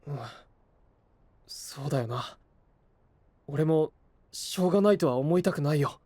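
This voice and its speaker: A man's voice: on the high side, unhurried, mellow, no room sound. An actor playing an earnest, caring young male hero, sincere and straightforward, in an anime audio drama. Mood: concerned.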